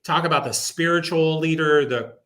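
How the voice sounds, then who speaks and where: Male sports announcer as interview guest, bright forward voice, casual, emphatic storyteller. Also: fairly high pitch, moderate pace.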